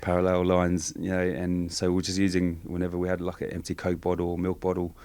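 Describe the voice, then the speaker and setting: Flat, deep-voiced New man. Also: fast talker, no echo. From Samoa. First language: Samoan. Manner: soft-spoken, hesitant, many fillers. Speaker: guest. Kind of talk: reflective interview answers.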